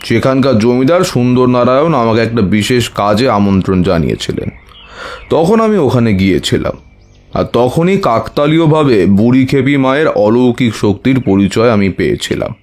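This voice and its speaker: An actor playing a wise older tantric priest who recounts eerie memories in a measured way, with thoughtful hums, in an audio horror story. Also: male voice, medium pitch, animated, darkish tone, close to an everyday voice. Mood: reminiscing, measured.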